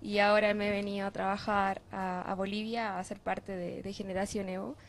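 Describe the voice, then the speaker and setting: A woman's voice: mid-range voice, flat delivery, fairly quick. A young woman from Chile, answering interview questions in an earnest, reflective way with some fillers. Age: young adult. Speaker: interviewee, youth group member. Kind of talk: group interview.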